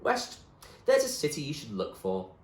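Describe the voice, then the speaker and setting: A man's voice: medium pitch, wildly swooping pitch, moderate pace, roomy sound, pitched above the performer's own voice. A man playing a stubborn, idealistic and dreamy male friend in a storybook, speaking earnestly and insistently. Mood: dreamy urging. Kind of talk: acted storybook dialogue.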